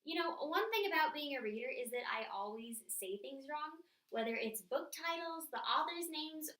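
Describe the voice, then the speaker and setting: High-voiced woman, echoey distant mic. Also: animated, moderate pace, fairly bright tone. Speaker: book vlogger hosting her channel. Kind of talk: scripted-sounding book tag chat to camera. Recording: roomy echo, distant microphone.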